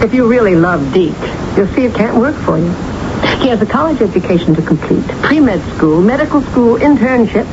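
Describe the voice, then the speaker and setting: A woman's voice: on the high side, expressive, fairly quick, lightly acted. An actress in a radio drama playing a controlling, wealthy middle-aged mother with a firm, precise, condescending manner. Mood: cold, firm. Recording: dull, very noisy old radio broadcast.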